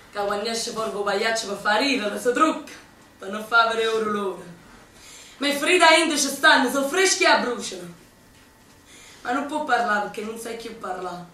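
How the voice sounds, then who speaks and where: A woman's voice: on the high side, pushed and bright. An actress playing a young Neapolitan woman partisan in a stage monologue, with a Neapolitan accent and an impassioned, declaiming delivery. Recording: very echoey stage from far off.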